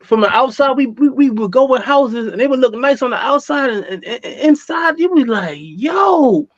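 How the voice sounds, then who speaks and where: An American man being interviewed, telling his life story casually in animated street slang, repeating phrases for emphasis. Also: very high-pitched, a little fast, no room sound, muffled recording.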